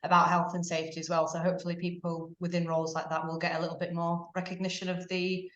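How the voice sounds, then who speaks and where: A woman hosts a webinar over a video call and reads out questions in a calm, level way. Her voice sounds roomy and muffled, as if the sound is dulled. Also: fairly low pitch, quick pace. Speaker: session host.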